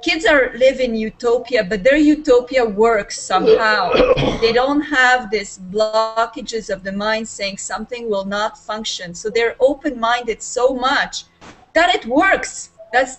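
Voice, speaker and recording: A woman's voice: fairly high pitch, measured pace, a bit bright, low-quality recording. A woman in her forties speaks with a Serbian accent over a webcam, sitting in a small room at home. Her talk is earnest and unscripted, and she sounds enthusiastic about what she is explaining. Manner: enthusiastic, gestures with both hands. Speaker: panelist in a video call talk. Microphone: webcam on a video call.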